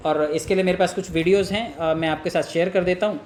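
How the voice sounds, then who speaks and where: A man's voice: high-pitched, steady tone, fast, a little mellow. A man from India giving informal sales coaching in an earnest, explanatory manner, acting out example calls and asking rhetorical questions. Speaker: network marketing trainer. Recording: echoey room with faint noise.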